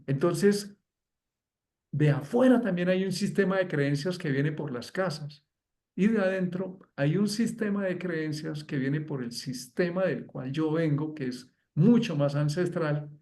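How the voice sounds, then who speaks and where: A man's voice: a little high, a little mellow. A man in a conversation who explains fluently, stringing examples together in flowing phrases. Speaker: guest astrologer.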